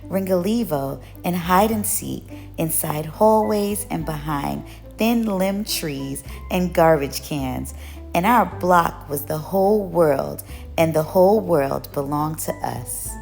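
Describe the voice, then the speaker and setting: A woman reading a picture book aloud as a storyteller, with expressive, measured narration. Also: sits low, creaky. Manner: measured storytelling, acts out children's quoted calls.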